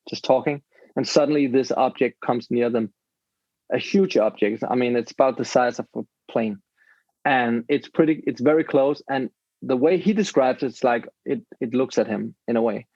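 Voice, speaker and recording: A man tells a story casually in English, often restarting his sentences and groping for the right word. His voice is gravelly and sits at an ordinary pitch. The recording sounds dry and somewhat dull. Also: moderate pace, darkish tone, no echo. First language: Danish. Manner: searches for English words, many restarts. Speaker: podcast host.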